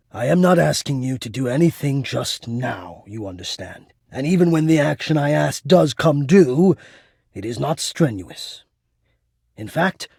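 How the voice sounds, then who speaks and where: A shy, lovelorn male spirit who hides in the vents of a video game house. His voice is mid-pitched with a creaky, husky grain, recorded close and dry. He speaks in florid, theatrical phrases, halting and painfully earnest. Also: a little mellow, no echo, full character voice. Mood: gentle, pleading. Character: anxious, lovelorn, poetic male ductwork spirit hiding in attic. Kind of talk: video game character acting.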